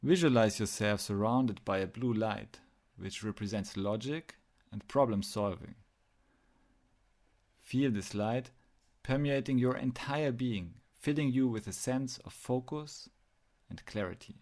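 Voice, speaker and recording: A man narrating a guided meditation, speaking in measured phrases with pauses as he gives instructions. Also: medium pitch, a little mellow.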